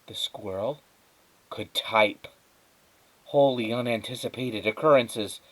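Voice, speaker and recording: A man reading a children's story aloud as a teacher would, with dramatic, measured phrasing and emphatic pauses. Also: mid-range voice, a bit bright, poor audio. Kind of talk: expressive children's storybook narration.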